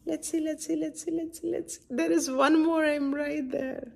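A woman's voice: high voice, steady tone, moderate pace, vocal fry, darkish tone. A middle-aged woman reading a picture book aloud to young children, expressively and with lots of questions put straight to them. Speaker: children's story time host. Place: indoor room at home by a window.

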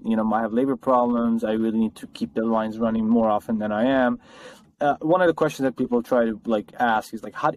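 Fast, gravelly American male interviewer. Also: mid-range voice, a little mellow, rough recording. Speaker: interview host. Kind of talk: casual interview questioning. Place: indoor open office with brick wall.

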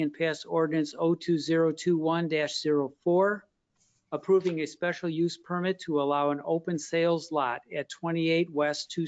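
Man reading a formal agenda aloud. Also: fairly high pitch. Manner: steady, formal, measured reading. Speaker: council meeting chair. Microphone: video call. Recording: dull lo-fi video call audio.

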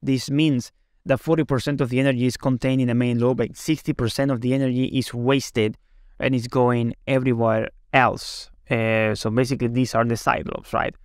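Man, company presenter, medium pitch, explanatory webinar delivery, frequent tag questions, close dry recording. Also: level tone, normal speed, smooth, no room sound. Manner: explanatory, often tags sentences with "right?".

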